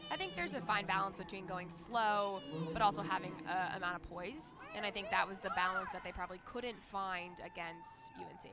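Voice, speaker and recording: A woman's voice: medium pitch, a little fast, hardly any pauses. A woman working as a color commentator, giving live sports analysis.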